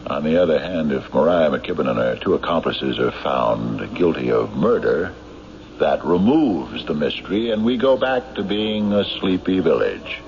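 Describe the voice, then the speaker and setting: A grimly determined small-town sheriff in an old-time radio play. His voice is extremely low and gravelly, his delivery terse and halting. The muffled broadcast has faint noise. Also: sing-song, darkish tone, full character voice. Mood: skeptical.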